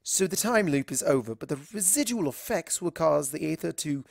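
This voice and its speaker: A man's voice: on the high side, animated, brisk, gravelly, no room sound, clean studio sound. A man voicing an eccentric, dramatic male pony doctor in a storybook reading, with an earnest theatrical manner. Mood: urgent. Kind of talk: storybook character dialogue.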